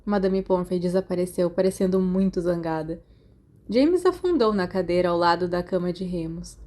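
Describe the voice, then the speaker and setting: A woman with a Brazilian accent reading a story aloud as an expressive audiobook narrator. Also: lowish voice, moderate pace.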